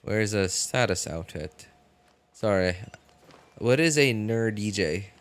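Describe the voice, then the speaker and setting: Slow, low-voiced man streaming a game. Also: expressive. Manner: halting, thinking aloud. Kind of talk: casual live stream chat while gaming.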